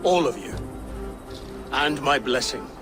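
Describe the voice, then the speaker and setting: A man's voice: medium pitch, lively intonation, slow, music in the background. A middle-aged actor playing a solemn new king and father speaking formally. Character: newly crowned king, grave father.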